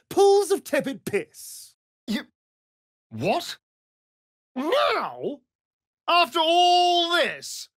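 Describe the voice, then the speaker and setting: A flamboyant British vampire elf from a fantasy game. His delivery is slow and drawn out, with pitch that swoops dramatically through each phrase. He sounds vain and sardonic, recorded close and dry. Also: slightly rough, no room sound, heavily acted. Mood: arch, mocking, then distraught, angry. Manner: arch, drawling, mocking, dramatic. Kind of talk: theatrical fantasy video game acting.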